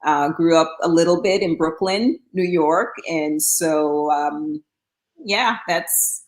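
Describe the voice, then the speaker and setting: A woman's voice: low-pitched, slow pace, roomy sound, poor audio. A middle-aged woman from Guyana, talking casually as an interview guest, with frequent fillers and restarts. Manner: chatty, with many um fillers and restarts.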